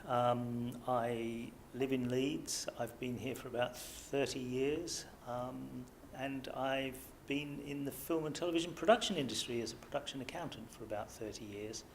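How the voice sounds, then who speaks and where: A man's voice: mid-range voice, steady tone, normal speed, few pauses, a little hiss or hum. A middle-aged man hesitantly introducing himself. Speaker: production accountant.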